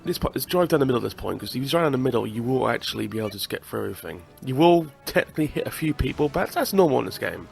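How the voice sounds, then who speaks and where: A man's voice: mid-range voice, big pitch swings, rapid-fire, creaky, few pauses, darkish tone, faint music behind. Chatty man with a stuffy cold. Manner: chatty, swears, laughs at the game. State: congested with a cold. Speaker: gaming channel host. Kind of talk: casual let's-play commentary.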